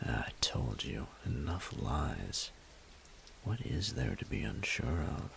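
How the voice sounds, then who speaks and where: Man acting a deep, gravelly, smug bully. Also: very animated, a bit bright, a little hiss or hum, exaggerated voice. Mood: smug, seductive. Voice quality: breathy, whispery, gravelly low voice. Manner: slow, taunting, drawling. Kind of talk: intimate audio roleplay, addressed to the listener.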